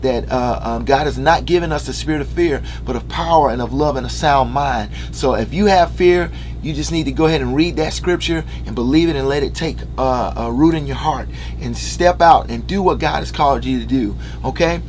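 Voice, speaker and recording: A man's voice: medium pitch, fairly quick, few pauses, darkish tone, slight background noise. A man in his thirties making an earnest, upbeat announcement to fans from a small living room, partly reading from notes with fillers. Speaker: musician addressing fans. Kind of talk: casual direct-to-camera announcement.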